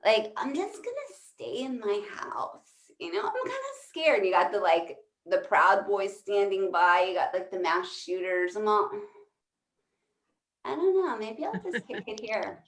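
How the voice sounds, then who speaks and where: A woman performs loose, conversational stand-up with a lively, swooping delivery. She rambles, swears and breaks off her own sentences. Her middle-pitched voice rings in an echoey room. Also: a little slow. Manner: rambling, profane, self-interrupting. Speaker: stand-up comedian.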